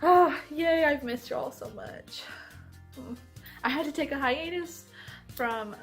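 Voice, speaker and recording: A woman's voice: high voice, slow, soft background music. A woman chats casually to her viewers as a book vlogger, gushing and leaning on her words. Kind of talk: casual vlog chat to viewers.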